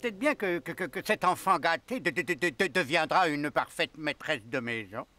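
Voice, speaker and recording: An actor voicing a pompous, fussy living toy sidekick in a French cartoon. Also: male voice, fairly high pitch, animated, normal speed, close to an everyday voice. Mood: musing. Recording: music under the voice.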